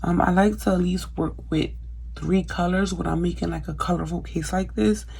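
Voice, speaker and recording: Woman, young adult, low-pitched, gravelly, casual chatty vlog talk to viewers. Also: steady tone, normal speed, dark tone. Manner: chatty, many fillers, addresses viewers as "you guys". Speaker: craft vlogger. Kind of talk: casual how-to vlog chat.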